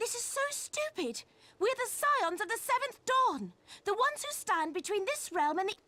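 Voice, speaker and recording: Very high-pitched, brash young woman brawler. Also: big pitch swings, moderate pace, sharp tone, no echo, exaggerated voice. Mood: exasperated. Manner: informal, blunt, cocky. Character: brash, cheerful young female brawler, eager for a fight. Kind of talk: video game voice acting.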